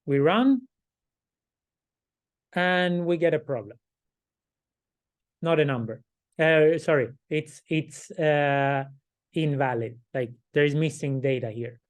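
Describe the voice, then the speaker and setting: A hoarse middle-aged man lectures a class at an unhurried, deliberate pace. His voice sits a little high for a man, and he keeps clearing his throat. He walks through each step and checks in with "right?" as he goes. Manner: slow, explaining step by step, frequent "right?" check-ins. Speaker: instructor.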